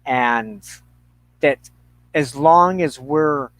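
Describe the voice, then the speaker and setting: A middle-aged man in a reflective conversation, speaking haltingly and thoughtfully with pauses mid-sentence. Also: medium pitch, fairly bright tone, no echo.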